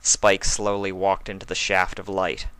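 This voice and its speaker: A man narrates a story from a script. His voice sits fairly low, and the recording is very dry, with hardly any room around it. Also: completely flat delivery, unhurried, a bit bright, smooth, no echo. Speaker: fan fiction reader and narrator.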